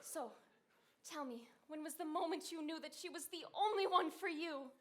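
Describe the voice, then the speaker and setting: A woman's voice: high voice, normal speed, close to an everyday voice. A woman playing a vain, glamorous bride who coyly poses as a Frenchwoman in a stage musical comedy. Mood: coy, fishing. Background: faint music.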